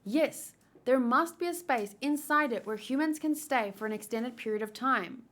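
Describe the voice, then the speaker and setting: A woman's voice: on the high side, normal speed, fairly bright tone, voice pushed up, natural voice. An anxious young soldier from a manga, voiced by a woman, clever but hesitant. He sounds earnest and unsure as a leader. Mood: urgent. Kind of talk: manga read-aloud.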